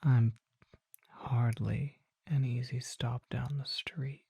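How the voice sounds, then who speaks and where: A performer voicing a courteous, curious vampire apothecary in an ASMR roleplay, soft and often whispering, with genteel, leisurely, musing speech. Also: medium pitch, steady tone, slow pace, gravelly, slightly rough, dry recording, studio quality, heavily acted.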